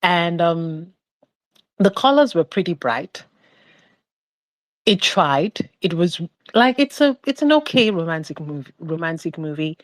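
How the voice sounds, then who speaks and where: A woman's voice: lowish voice, expressive, unhurried, no echo, rough recording. A woman giving her opinion on a film podcast, chatty and meandering, with lots of "like" and restarts. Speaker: podcast panelist. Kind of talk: casual opinion chat.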